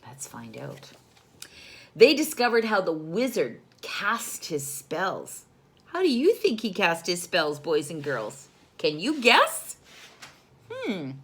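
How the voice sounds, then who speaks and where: A woman reads a children's story with a very lively, swooping delivery at an unhurried pace. Her voice sits a little low, and she speaks like a teacher, stopping to question and explain things to her young audience. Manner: animated, teacherly, pauses to question listeners and explain words.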